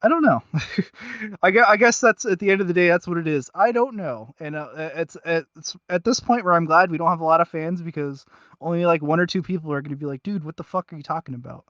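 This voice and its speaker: Slightly high-voiced man, halting and rambling. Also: fairly bright tone, no room sound, muffled recording. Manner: halting, self-deprecating, rambling. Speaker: podcast host. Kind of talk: casual review chat.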